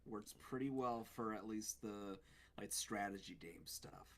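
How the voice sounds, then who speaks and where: A man co-hosting a casual podcast, talking in a halting, deadpan way. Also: fairly low pitch. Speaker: podcast co-host.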